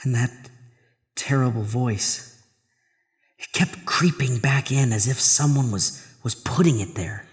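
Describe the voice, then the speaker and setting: A man's voice: medium pitch, unhurried, in a large hall, natural voice. Actor as haunted man narrating a horror tale. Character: Arthur, troubled married man haunted by a drain. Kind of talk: first-person horror audio drama narration.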